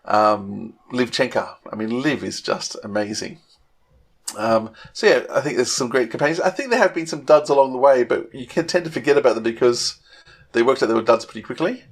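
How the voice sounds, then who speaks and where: A man's voice: medium pitch, a little fast. Middle-aged man chatting casually, thinking aloud. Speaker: podcast co-host. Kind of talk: casual fan chat.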